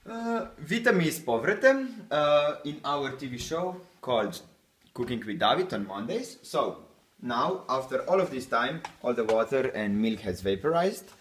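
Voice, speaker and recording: A man's voice: mid-range voice, very animated, unhurried, far from the mic, slight background noise. Brief: young man spoofing a TV chef, jokey and chatty; recorded off-mic in a roomy kitchen. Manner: joking, loose and chatty while cooking. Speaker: host of a homemade cooking show. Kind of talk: playful mock cooking-show talk. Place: small home kitchen, indoors. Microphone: camera a few feet away.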